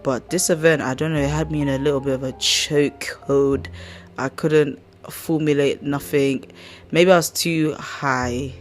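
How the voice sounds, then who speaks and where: Croaky woman hosting a chatty podcast. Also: low-pitched, steady tone, a little slow, faint music behind. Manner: chatty, slangy, rambling storyteller. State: croaky, hoarse voice. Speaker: podcast host. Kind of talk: casual chat.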